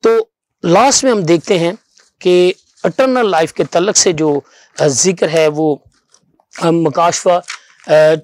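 A middle-aged man delivers a televised Bible message. His voice sits high for a man and is recorded dry on a lapel mic in a studio. He explains step by step in a halting way, repeating phrases for emphasis. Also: no echo. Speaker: pastor. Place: small TV studio, seated at a desk.

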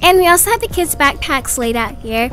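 A woman telling a roleplay story in a perky, chatty, expressive way, acting out the scene as she goes. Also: high voice, a little fast. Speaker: storyteller voicing all characters in a roleplay video. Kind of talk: animated roleplay narration. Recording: faint music behind. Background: light background music.

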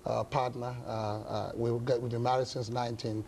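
A middle-aged man with a Ghanaian accent, speaking as an interview guest in measured, hesitant phrases. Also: medium pitch, level tone, creaky, a little mellow, slight background noise. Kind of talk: studio interview, measured explanation. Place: indoor television studio set. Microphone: lapel mic.

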